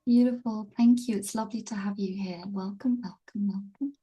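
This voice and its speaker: A woman hosting a live online healing webinar, easygoing and gently encouraging, speaking over a video call. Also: mid-range voice, fairly even intonation, normal speed, husky, rough recording. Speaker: webinar host and healing teacher.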